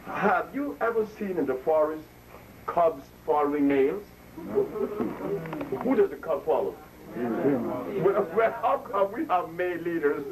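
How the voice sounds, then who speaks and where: A man in his seventies giving an impassioned lecture to an audience, preaching and drawing call and response from listeners. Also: high-pitched. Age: 70s. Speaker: speaker addressing an audience. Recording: distant mic, faint room noise.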